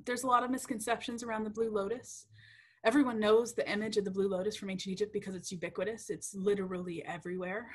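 A young woman researcher giving a casual, chatty lecture over slides, with many ums, self-corrections and "right?" checks. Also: medium pitch. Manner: uptalk and frequent "right?" checks. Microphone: computer mic on a video call.

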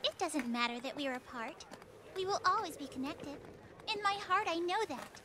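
An actress playing a devoted, spirited young warrior princess in a video game, speaking earnestly and tenderly. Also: female voice, high voice, lively intonation, normal speed, background noise. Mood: tender, resolute. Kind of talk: English-dubbed video game cutscene acting.

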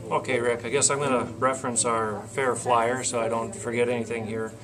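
A man in his forties reading out an event schedule as an interview guest, plain-spoken and halting. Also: mid-range voice, little pitch movement, a crowd in the background. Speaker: county fair board president, interview guest. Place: small indoor meeting room, seated at a table. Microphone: camcorder a short distance in front.

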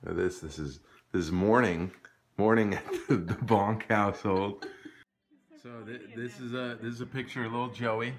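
A man's voice: fairly low pitch, moderate pace. A man in his thirties narrating a casual tour vlog into a phone camera, joking and using fillers. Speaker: band member vlogging a tour. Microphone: phone camera at arm's length. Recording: noisy handheld phone audio.

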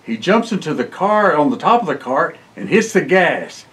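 Older man reading a storybook, lively pitch. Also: moderate pace. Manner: expressive, with exclamations and short asides. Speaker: guest reader of a children's picture book. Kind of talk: storybook read-aloud for children. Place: indoor library room, seated by bookshelves.

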